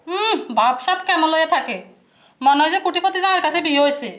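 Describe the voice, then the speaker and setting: Woman as high-pitched, fast-nagging sister-in-law. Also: a bit bright. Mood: sarcastic, scolding. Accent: colloquial Bangladeshi Bengali. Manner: sarcastic, complaining. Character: shrill nagging sister-in-law, quarrelsome wife. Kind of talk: acted family drama.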